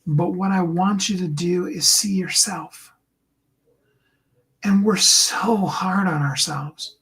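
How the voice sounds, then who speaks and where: A man with a higher tenor voice giving an earnest, encouraging motivational talk to his viewers, often slowing down and dropping into a soft whisper. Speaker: host and coach.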